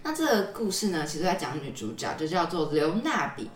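A woman's voice: deep voice, very animated, fairly quick, recorded from a distance. A young woman with a Taiwanese Mandarin accent, a podcast host telling stories in a casual, explanatory way. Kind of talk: casual conversational storytelling. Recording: roomy echo, off-mic, music underneath.

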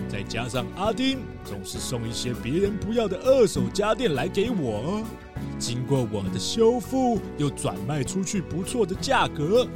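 A man playing a humble, determined old recycling collector in a children's radio drama, speaking with earnest, rousing emphasis. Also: a little high.

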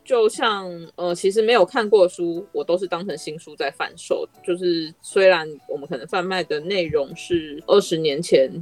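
A woman's voice: on the low side, normal speed, hardly any pauses, a little mellow, soft background music. A woman with a Taiwanese accent in a casual podcast conversation, explaining things in an encouraging, persuasive way.

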